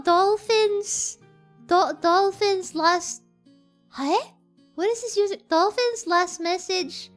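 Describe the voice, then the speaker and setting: A soft-voiced woman streamer chats with her viewers in a very high, sharp, bright voice. She giggles and repeats herself as she reads their messages. Faint music plays behind her. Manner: giggly, chatty, stumbles and repeats words. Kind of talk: casual chat, reading viewer messages.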